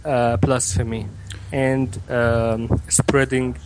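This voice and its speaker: A man in his twenties, from Egypt and raised in Kuwait, answering interview questions hesitantly with many pauses and restarts. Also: mid-range voice, little pitch movement, background noise. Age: 20s. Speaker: interview guest. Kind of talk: remote interview.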